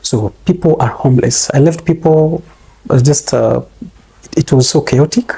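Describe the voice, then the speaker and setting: A Kenyan man answers questions in a casual, meandering way, often stopping on fillers. His voice is dark and mellow, with a husky edge. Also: fairly high pitch, normal speed. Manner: rambling, hesitant, full of fillers. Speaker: interview guest. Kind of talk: casual interview.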